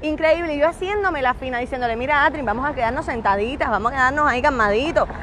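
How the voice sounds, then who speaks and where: A woman hosts a live chat outdoors, talking fast and teasing her guest. Her voice sits high. The phone mic picks up street traffic. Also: a bit bright. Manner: chatty, teasing, laughs often. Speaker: host. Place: sunny city sidewalk outdoors. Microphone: phone on a selfie stick.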